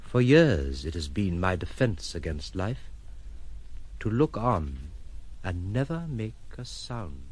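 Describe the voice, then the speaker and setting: An actor playing a courteous, detached, melancholy gentleman wanderer in an audio drama, formal and reflective in manner. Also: low-pitched, sing-song, slow pace, slightly rough, full character voice. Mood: melancholy reflection. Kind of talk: audio drama acting, first-person reminiscence.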